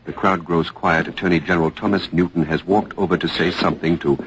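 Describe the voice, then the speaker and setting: A very low-voiced male reporter played by an actor gives grave live commentary in a vintage radio drama. He is formal, measured and descriptive, over faint broadcast noise. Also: steady tone, lightly acted. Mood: watchful. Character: serious on-the-scene radio news reporter at trial.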